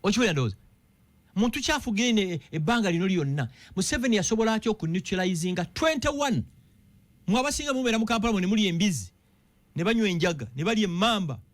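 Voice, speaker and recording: A Ugandan man on a radio talk show, talking politics in an animated way and firing one rhetorical question after another. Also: high-pitched, very fast. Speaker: political commentator.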